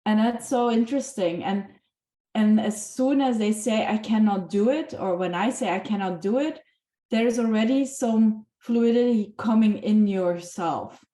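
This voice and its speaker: A woman taking part in an online group discussion, earnest and halting, often restarting her sentences. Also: mid-range voice, mellow. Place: video call. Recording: echoey room.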